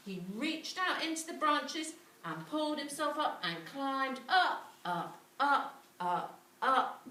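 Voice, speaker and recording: Animated woman storyteller in a roomy space. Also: a little high. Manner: dramatic, with repetition and questions to listeners. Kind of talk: interactive children's storytelling. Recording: roomy, distant mic, faint noise.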